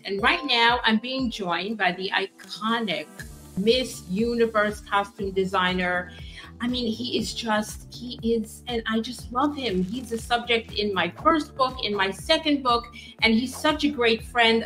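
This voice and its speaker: A woman's voice: a little high, sharp tone, poor audio. Effusive woman talk show host. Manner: gushing, effusive praise. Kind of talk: hosting an interview.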